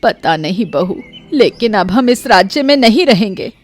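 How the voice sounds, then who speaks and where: A woman's voice: medium pitch, lively intonation, moderate pace, close to an everyday voice. A woman voicing a kind motherly mother-in-law in a moral story, patient and comforting. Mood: resolute, patient.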